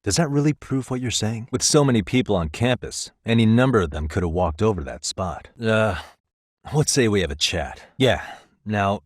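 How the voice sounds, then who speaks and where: A man's voice: fairly low pitch, dry recording, natural voice. A male actor with an American accent playing a wry, easygoing private detective in a video game, talking in a dry, casual, persuasive way. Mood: wry, defensive, then calm, negotiating. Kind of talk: scripted game dialogue, conversational.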